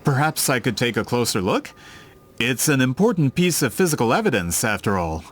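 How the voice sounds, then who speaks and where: An actor playing a scheming, vain merchant in a video game, with a theatrical, pompous, indignant manner. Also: male voice, mid-range voice, big pitch swings, a little fast, a bit bright, a little hiss or hum. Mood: feigned politeness. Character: scheming, vain male merchant with grand business ambitions.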